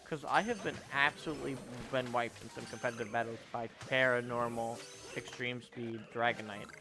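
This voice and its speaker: A man's voice: medium pitch, steady tone, normal speed. A twenty-year-old man casually chatting while streaming a game, rambling and answering viewers as he plays. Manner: rambling, self-correcting, answering chat. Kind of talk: casual gaming livestream chat.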